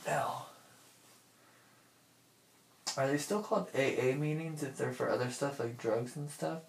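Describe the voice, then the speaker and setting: A man's voice: mid-range voice, normal speed, vocal fry. A young adult man talking casually to himself in an informal, rambling monologue that often interrupts itself. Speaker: personal audio diarist. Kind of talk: casual thinking-aloud monologue.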